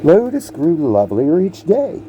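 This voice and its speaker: Man, storybook narrator, low mellow voice, swooping intonation, halting delivery, playful asides, music behind. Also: wildly swooping pitch, slight background noise. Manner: halting, repeats words, playful off-script asides. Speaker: narrator reading a picture book aloud. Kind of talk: storybook read-aloud with casual asides.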